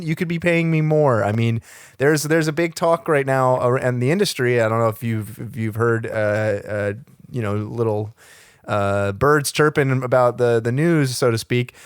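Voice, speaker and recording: A man playing a brazen, evasive Hollywood talent agent in improvised comedy, with slick, blustering, halting double-talk. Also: medium pitch, clean tone, no echo, studio quality, lightly acted. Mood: defensive, pushy. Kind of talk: improvised comedy podcast role-play.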